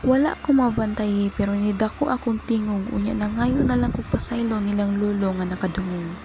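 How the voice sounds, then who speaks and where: A woman's voice: medium pitch, brisk, natural voice. A woman playing a young woman who narrates her own romance in a scripted radio drama. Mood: troubled. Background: music.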